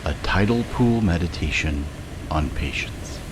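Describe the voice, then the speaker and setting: A man narrating a scripted guided meditation in a measured way, with long pauses between short phrases. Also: a bass voice, animated, noisy background.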